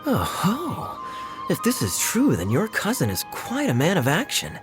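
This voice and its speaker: A woman's voice: on the low side, wildly swooping pitch, unhurried, over music. An actress playing a grandiose, theatrical young woman who speaks in dramatic, old-fashioned phrasing, in a game. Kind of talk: game voice acting.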